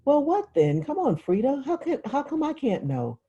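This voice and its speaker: A woman's voice: high-pitched, wildly swooping pitch, warm tone, highs cut off, pitched above the performer's own voice. A woman reading the part of a naive, impatient little girl who fires off eager questions in a novel. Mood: eager, nosy. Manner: eager rapid-fire questioning. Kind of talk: literary audiobook dialogue.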